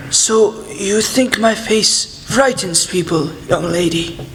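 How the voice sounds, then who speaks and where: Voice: mid-range voice, slow pace, fairly bright tone. Courtly old grandfather in an echoey hall , acted by a woman. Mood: gruff. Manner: formal, courtly. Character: gruff but kindly, courtly old grandfather. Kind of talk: radio play acting. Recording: echoey hall, faint noise.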